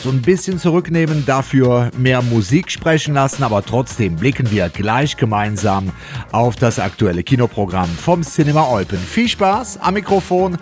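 Man, radio host, blocked nose, chatty, soft music behind. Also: mid-range voice, animated, normal speed. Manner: chatty, friendly announcing. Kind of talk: casual radio moderation.

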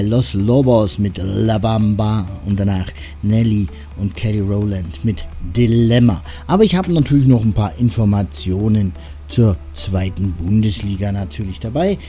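A man's voice: fairly low pitch, dark tone. A man hosting a radio show in a chatty, rambling way, with self-deprecating asides between music and sports news. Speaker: radio host. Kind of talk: casual radio moderation.

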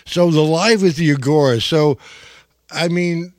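A man's voice: fairly high pitch, a little slow, no room sound, clean studio sound. A man near seventy hosting an interview, chummy and enthusiastic, often exclaiming in surprise. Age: older. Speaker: interviewer host. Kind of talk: casual interview chat.

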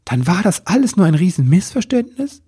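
A man's voice: sits high, big pitch swings, a little fast, warm tone, breathy edge, pitched above the performer's own voice, heavily acted. A man voicing a small male Christmas elf in a children's story, eager, anxious and easily flustered. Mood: dismayed, astonished.

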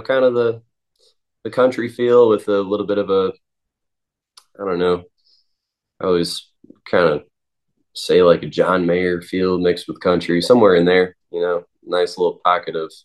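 A man of twenty, a singer-songwriter, answering interview questions in a halting way with many pauses and restarts. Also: low-pitched. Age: 20s.

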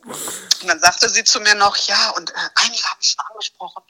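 A woman's voice: medium pitch, fairly quick, poor audio. A woman in her thirties chatting as a podcast guest, telling stories with plenty of laughter. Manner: laughing, lively storyteller. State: busy mother with young children. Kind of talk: casual chat.

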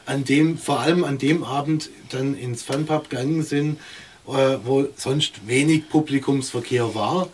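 A man, a singer talking casually about his music in an interview. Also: mid-range voice. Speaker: singer and lyricist being interviewed. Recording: slightly distant mic with clear background noise.

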